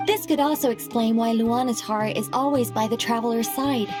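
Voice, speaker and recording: A woman voicing an earnest, scholarly young lady in a game cutscene, speaking in a formal, measured, articulate way. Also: on the high side, steady tone, over music, natural voice. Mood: thoughtful, earnest. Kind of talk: animated game cutscene voice acting.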